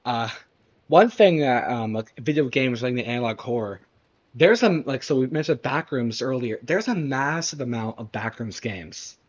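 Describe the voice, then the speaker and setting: A young man co-hosting a podcast, talking in a halting way full of fillers like "like" and "you know what I mean". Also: medium pitch, expressive, dry recording, lo-fi sound. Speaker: podcast co-host. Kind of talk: casual chat.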